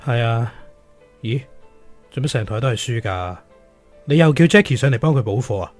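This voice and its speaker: A man's voice: mid-range voice, expressive, normal speed, faint music behind, natural voice. A man acting in a Cantonese radio drama as a stern, jealous teacher of thirty-one with a Hong Kong accent. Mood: weary, suspicious. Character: stern, jealous thirty-one-year-old male teacher, worried fiancé.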